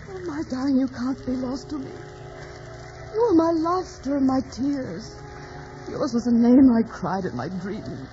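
A woman's voice: high-pitched, noisy background, exaggerated voice. An actress playing a headstrong, anguished young woman in love, melodramatic and pleading in old radio drama. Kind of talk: 1940s radio drama acting. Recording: dull old radio recording, music behind.

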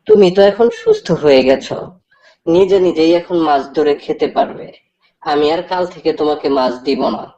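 A kindhearted cartoon fisherman played by a woman, with a very low voice. The delivery is earnest and plain-spoken, on a somewhat lo-fi recording. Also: sing-song, normal speed, gravelly, dark tone, heavily acted. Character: kindhearted, hardworking male fisherman. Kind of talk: cartoon character dialogue.

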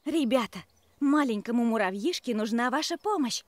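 A woman's voice: fairly high pitch, animated, normal speed, dry recording, natural voice. A woman voicing a kindly female tree in a children's cartoon, encouraging and speaking to young listeners.

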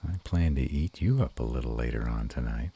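A man playing a gruff but tender were-dragon lover in an intimate romantic audio roleplay, murmuring slowly and haltingly in a gravelly, husky voice. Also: very deep voice, lively intonation, warm tone, full character voice. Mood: playful growling.

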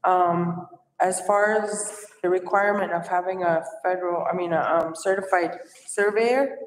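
A woman's voice: on the low side, steady tone, moderate pace, far from the mic. Woman asking questions in an echoey room. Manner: searching for words, questioning. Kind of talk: council meeting discussion. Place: large council chamber. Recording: roomy echo with faint noise.

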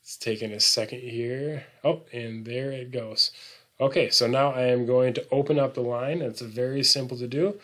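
A man presenting an unscripted software tutorial, explaining things informally step by step. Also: medium pitch, fairly even intonation, normal speed.

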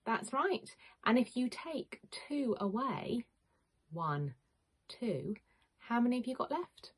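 A woman, a teacher reading a picture book to young children in an expressive, questioning manner. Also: fairly high pitch, takes it slowly. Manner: expressive, questioning, clearly addressing small children. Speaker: primary school teacher. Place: small home room with bookshelves, indoors.

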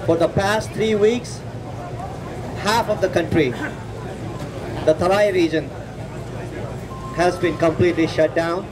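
High-pitched Nepali-accented man giving a rally speech. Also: a crowd in the background. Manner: emphatic, deliberate, with repetition for stress. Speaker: activist speaker at a rally. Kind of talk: earnest political speech. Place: outdoor city plaza at night. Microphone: podium microphone. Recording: outdoor street noise with passing traffic. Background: street traffic and urban ambience.

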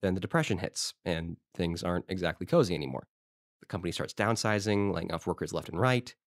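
A man's voice: fairly low pitch, no room sound, studio quality, close to an everyday voice. A man playing an eager small-town historical society president in an audio drama, telling stories in an informal, rambling way. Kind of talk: conversational audio drama monologue.

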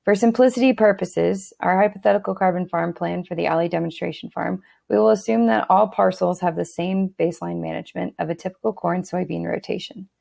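Gravelly-voiced woman explaining software steps. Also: on the low side, a little fast, few pauses. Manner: steady instructional tone with occasional fillers and restarts. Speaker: software tutorial narrator. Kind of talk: screen-recorded software walkthrough.

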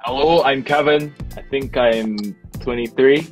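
A man's voice: mid-range voice, fairly even intonation, a little slow, faint music behind, natural voice. A man voicing a vague, dreamy young adult singer who wants to join a pop group. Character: dreamy 23-year-old singer wannabe.

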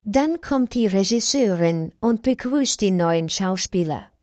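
Female narrator, slow and deliberate, dry close sound, for learner stories. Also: medium pitch, lively intonation, warm tone, no echo. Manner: slow, clear, evenly paced reading. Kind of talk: slow scripted story reading for learners.

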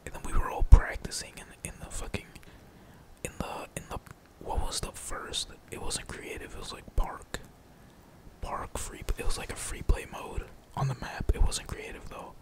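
A man's voice: moderate pace, low-quality recording. Whispering young man gaming. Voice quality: breathy, unvoiced whisper. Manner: slangy, profane, rambling. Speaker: gaming streamer. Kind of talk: whispered casual gameplay commentary.

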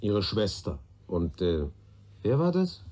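A husky, shrewd police detective. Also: male voice, fairly low pitch, very animated, darkish tone, full character voice. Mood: stern, warning. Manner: probing, deliberate, sardonic, authoritative. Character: shrewd, patient male police detective questioning a young man. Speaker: police investigator. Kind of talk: German radio play dialogue.

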